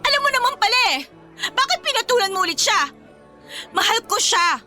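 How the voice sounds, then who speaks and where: A woman's voice: very high voice, expressive, fairly quick, bright, forceful tone, faint music behind, full character voice. An actress with a Filipino accent playing a jealous, confrontational young woman in an emotional radio romance drama. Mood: tearful pleading. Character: jealous, fiery young woman, betrayed girlfriend.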